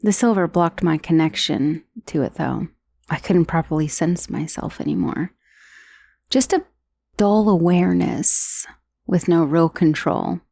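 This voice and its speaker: A woman's voice: on the low side, unhurried, vocal fry, mellow, no room sound, clean studio sound. A woman playing an undead dullahan's severed head in an ASMR roleplay, conversational and dryly sardonic, menacing yet wistful, with sighs and hesitations. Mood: rueful.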